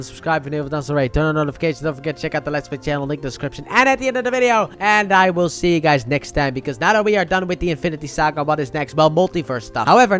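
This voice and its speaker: High-pitched man reviewing, music behind. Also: fairly bright tone. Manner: emphatic, conversational, opinionated. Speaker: movie review host. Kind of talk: casual video review commentary. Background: music under the voice.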